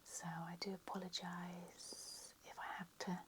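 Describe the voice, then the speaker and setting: Lady whispering real slow, flat and low, bit croaky today. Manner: slow, soft, unhurried whisper. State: slightly croaky voice. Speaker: ASMR lecturer. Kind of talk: whispered ASMR lecture roleplay.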